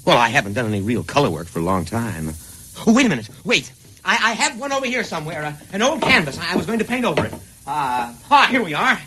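An actor playing an eccentric, boastful struggling painter with a breezy, chatty manner, in old-time radio comedy style. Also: male voice, fairly high pitch, big pitch swings, quick pace, noisy background. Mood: eager, oblivious. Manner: breezy, chatty, proud of his own work. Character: eccentric struggling painter, boastful, oblivious, sly, eager to show off.

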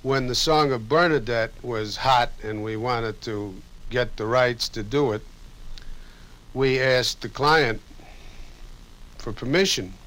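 A man reminiscing in an interview about radio work, measured, with pauses and a dry wit. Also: mid-range voice, a bit bright, rough recording.

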